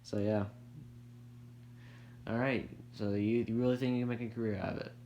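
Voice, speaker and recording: Low-voiced rambling young man interviewing. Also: little pitch movement, moderate pace, mellow, dull audio, a little hiss or hum. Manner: rambling, heavy use of "like" and restarts. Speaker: interviewer and filmmaker. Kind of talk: casual interview chat.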